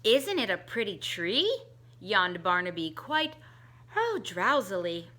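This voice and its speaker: A middle-aged woman reads a rhyming children's book aloud at an unhurried pace. Her voice is bright and a little high, and it swoops up and down in a sing-song way as she changes voices for the characters. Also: very animated. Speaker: teacher reading a picture book aloud. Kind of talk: storybook read-aloud for children.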